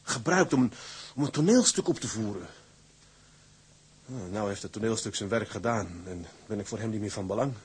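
Man acting a torn seaman husband in a radio play, halting and earnest, bit of noise. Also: on the low side, expressive, close to an everyday voice. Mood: troubled, hesitant. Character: troubled ship's mate, stubborn, torn husband.

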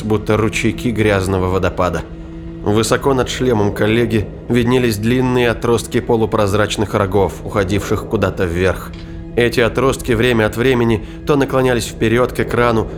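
Low-voiced male narrator over music. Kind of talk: dramatic scripted narration of science fiction thriller.

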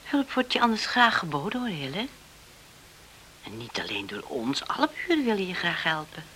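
Helpful, persistent neighbour: deep for a woman, very lively intonation, radio drama. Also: moderate pace, heavily acted. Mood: earnest, placating. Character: kindly, insistent neighbour woman offering help. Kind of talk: radio play acting. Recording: old radio recording with faint hiss.

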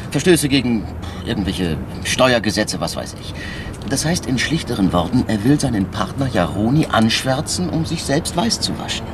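A man's voice: mid-range voice, background noise, lightly acted. A male actor playing a shrewd, persistent police inspector in a radio crime drama, insinuating and mock-deferential as he argues step by step. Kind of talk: naturalistic German radio crime drama acting.

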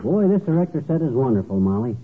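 An actor playing a boastful, childlike middle-aged husband, folksy and self-important, in an old radio comedy. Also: male voice, medium pitch, very animated, fast, slight background noise, lightly acted. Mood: boyish delight. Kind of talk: 1940s-50s radio comedy acting. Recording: tinny, band-limited old radio broadcast.

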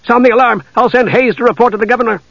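A man's voice: extremely high pitch, racing, vocal fry, a bit bright, no room sound, pitched above the performer's own voice, heavily acted. A man reading an audiobook, voicing an uneasy harbor man who talks with tense urgency. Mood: alarmed. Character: uneasy harbor man, middle-aged, alarmed. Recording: dull lo-fi dry recording.

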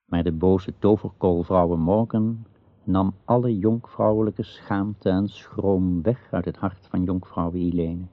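A man narrating a radio drama in a measured, solemn, archaic storytelling style with a gravelly voice. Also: low-pitched, fairly even intonation, no room sound. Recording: dull lo-fi old radio recording.

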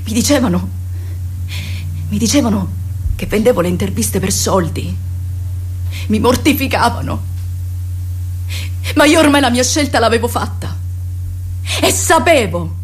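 An actress playing a wounded yet defiant survivor, telling her past in an emotional, deliberate radio monologue with dramatic pauses. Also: on the high side, animated, a little hiss or hum. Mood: proud, resolute. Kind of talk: theatrical radio monologue, first-person testimony.

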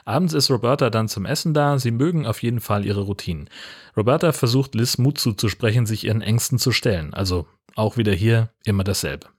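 A man's voice: lowish voice, brisk, slightly rough. A man hosting a podcast, narrating a scripted comic film recap in a wry, colloquial way. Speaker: podcast host.

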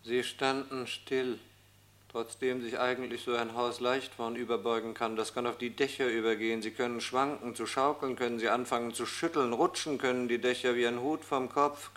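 A medium-pitched male voice of creeping dread, hushed, as heard inside a frightened head in a radio play, acted by a man. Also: fairly even intonation, normal speed, lightly acted. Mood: uneasy. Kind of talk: radio drama inner monologue.

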